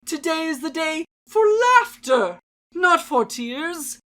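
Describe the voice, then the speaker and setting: A woman's voice: high-pitched, a bit bright, pitched above the performer's own voice. A woman performing a merry, cautioning jester herald in a theatrical, mock-Shakespearean dramatic reading. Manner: grandiose, drawn-out, declamatory delivery.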